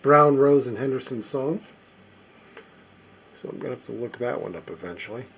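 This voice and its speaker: A man casually talking to himself as he sorts a stack of old records, reading the labels aloud in a halting, musing way. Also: mid-range voice, animated, vocal fry, a bit bright, a little hiss or hum. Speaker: record collector. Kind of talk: casual running commentary while sorting records.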